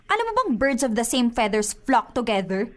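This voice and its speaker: A woman's voice: fairly high pitch, sing-song, moderate pace. A woman playing a suspicious, sulky young girlfriend in a radio drama, accusing and nagging with a Filipino accent. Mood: indignant. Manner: accusing, indignant.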